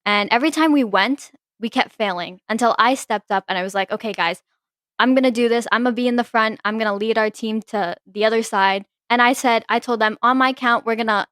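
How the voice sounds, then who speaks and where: A woman's voice: a little high, a little fast, fairly bright tone, no echo, clean studio sound. A teenage woman co-hosting a podcast, chatting casually with friends and telling a story full of "like" fillers. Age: teens. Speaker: podcast co-host. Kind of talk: casual chat among friends.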